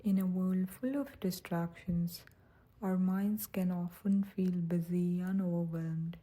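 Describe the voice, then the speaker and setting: A woman guiding a meditation in a soft, breathy, soothing and gentle voice, speaking without hurry. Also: fairly low pitch, steady tone, slow. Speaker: meditation guide. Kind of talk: guided meditation narration.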